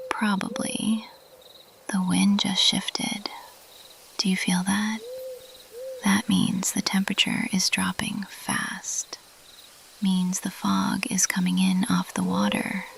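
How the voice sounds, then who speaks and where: Woman as a slow, whispering, teasing bat girl. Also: medium pitch. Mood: daring, playful. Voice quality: breathy whisper. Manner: slow, teasing, intimate, lingering on pauses. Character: mischievous, teasing female bat monster girl, playfully elusive. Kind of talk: ASMR roleplay, addressed to the listener.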